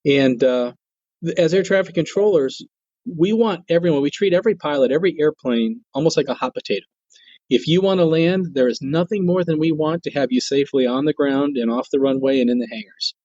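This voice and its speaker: A man with a mellow, relaxed voice talks as an interview guest about his job. He is earnest and folksy, explaining things conversationally. The sound is dry and a little muffled, like modest interview audio. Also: fairly high pitch, a little fast, no echo. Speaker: guest, air traffic control tower manager.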